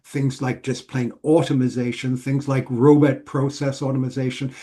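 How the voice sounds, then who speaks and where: A man's voice: medium pitch, level tone, warm tone. Middle-aged Swiss-born man explaining. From Switzerland. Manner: measured, explanatory, listing points. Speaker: guest, author. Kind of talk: casual interview.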